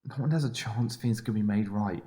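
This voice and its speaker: A man's voice: fairly low pitch, normal speed, dark tone, lightly acted. An actor playing a man's confident inner self in an audio drama, earnest and pleading, with an emphatic, coaxing manner. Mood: urgent, earnest.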